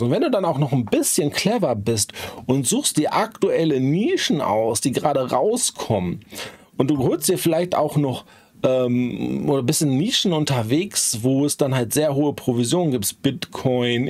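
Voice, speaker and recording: A hoarse man in his forties giving a chatty screen-share tutorial from a small home studio, tagging sentences with "ne?". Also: mid-range voice, a little mellow, no echo. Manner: chatty, frequent "ähm" and "ne?" tags. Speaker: online marketing YouTuber.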